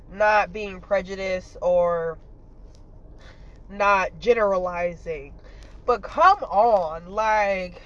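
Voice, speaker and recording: A woman's voice: medium pitch, a bit bright, lo-fi sound. A woman with a stuffy nose giving a casual, blunt commentary monologue as a podcast host, rambling and full of fillers and swearing.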